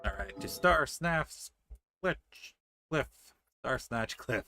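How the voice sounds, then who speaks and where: A man's voice: a little high, lively intonation, slow, creaky, bright, forceful tone. A man doing casual, joking gameplay commentary as a game streamer. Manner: informal, joking, exclamatory. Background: faint game music.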